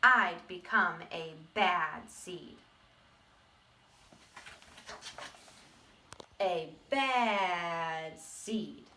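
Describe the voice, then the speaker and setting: A woman voicing a mischievous little male sunflower seed confessing in a dramatic, emphatic storybook read-aloud with drawn-out words. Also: on the low side, bright, forceful tone, slight background noise, pitched below the performer's own voice, exaggerated voice. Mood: sullen. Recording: roomy echo, distant microphone.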